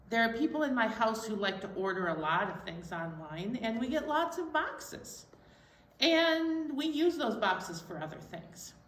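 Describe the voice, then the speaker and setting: A woman's voice: on the high side, pushed and bright. A woman co-hosting a children's storytime, explaining things simply and speaking directly to young listeners. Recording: echoey room, faint background noise.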